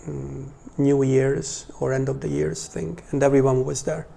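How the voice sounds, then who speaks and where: A man in his forties with a Hungarian accent, talking informally to a small religious class in a hesitant, halting way with pauses. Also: medium pitch, level tone, gravelly, mellow, a little hiss or hum. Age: 40s. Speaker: religious teacher.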